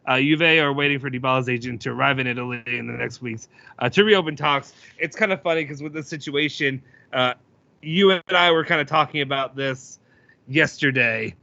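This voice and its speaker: A man hosting a casual sports podcast, loud-voiced and rambling, with lots of fillers and restarts. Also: medium pitch, brisk, vocal fry, no echo, dull audio. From United States. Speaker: podcast host.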